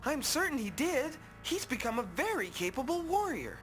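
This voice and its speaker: High, earnest young game hero. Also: female voice, sing-song, moderate pace, faint music behind. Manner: earnest, formal. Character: earnest, brave young apprentice hero, polite and resolute. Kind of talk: video game cutscene acting.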